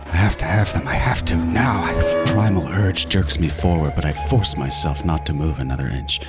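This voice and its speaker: An actor playing an obsessive, meticulous serial killer, narrating a horror podcast in an intense, deliberate, breathy and at times hushed voice. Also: male voice, very deep voice. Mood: hungry, obsessive. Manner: intense, menacing, deliberate first-person narration. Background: music.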